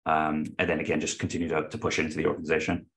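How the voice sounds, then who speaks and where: A man's voice: rumbling low, monotone, racing, creaky, a bit bright. A man in his thirties, a company chief guesting on an interview show, speaking earnestly with many fillers and restarts. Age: 30s. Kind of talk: casual interview talk.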